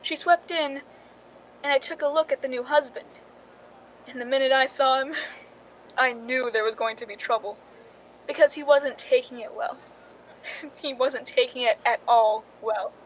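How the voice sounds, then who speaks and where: A woman's voice: sits high, fairly even intonation, normal speed, pauses often, a little hiss or hum, natural voice, low-quality recording. A woman playing a movie star's resentful stand-in, narrating a radio drama in a wry, confiding way. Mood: dryly amused.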